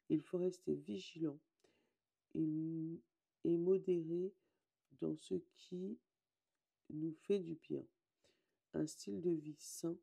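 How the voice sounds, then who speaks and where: A hoarse woman, casually chatting and reading oracle card meanings aloud, often correcting herself. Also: deep voice, warm tone. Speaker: tarot and oracle card reader.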